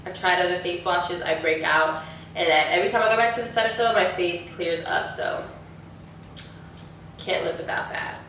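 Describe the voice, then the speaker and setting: A woman's voice: lowish voice, steady tone, normal speed, a bit bright. A young woman in her twenties, stuffy from a sinus infection, chatting casually through a Q&A with lots of likes and ums. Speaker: beauty YouTuber. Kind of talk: casual Q&A chat. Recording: echoey room, mic at a distance, faint noise.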